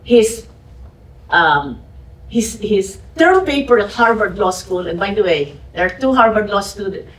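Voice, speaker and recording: An older woman from the Philippines with a Filipino accent, telling her story at a museum fireside chat in a halting way with frequent restarts. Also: medium pitch, fairly bright tone. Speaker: podcast host and guest speaker. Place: museum event hall. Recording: echoey hall, distant mic.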